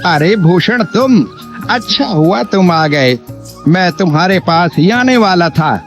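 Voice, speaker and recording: A man's voice: sits high, expressive, slow pace, full character voice. A man playing a greedy, falsely humble village landlord in a cartoon moral story, with an oily, over-polite manner. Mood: feigned apology. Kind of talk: Hindi animated moral story acting. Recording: dull lo-fi sound, faint music bed.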